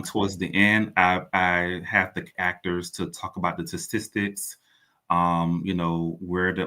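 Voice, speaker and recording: A man's voice: deep voice, sharp tone. A man speaking as a playwright guest in a radio interview, explaining earnestly and often repeating his phrases.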